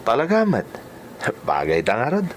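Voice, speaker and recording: A man's voice: mid-range voice, wildly swooping pitch, normal speed, slight background noise. A man acting a flirtatious, persuasive driver in a radio drama. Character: Lauro, flirtatious, persuasive male driver courting a coworker.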